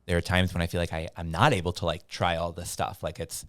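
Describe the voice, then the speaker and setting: Podcast host guy, lowish voice, dry close mic, easygoing, keeps saying mm-hmm and totally. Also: flat delivery, quick pace, no echo. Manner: friendly, affirming, lots of backchannel. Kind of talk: casual podcast chat.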